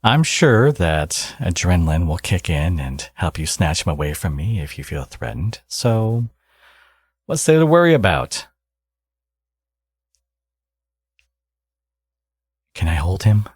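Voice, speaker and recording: A man playing a smug, flirtatious villain in an intimate ASMR audio roleplay, teasing and coaxing, with a gravelly, husky voice. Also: low-pitched, very animated, normal speed, dry recording, clean studio sound, exaggerated voice.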